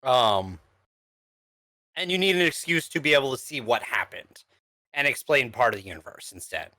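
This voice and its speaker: A man co-hosting a casual film review, explaining things in a halting, conversational way. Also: mid-range voice, expressive, sharp tone, no echo. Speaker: podcast co-host reviewer.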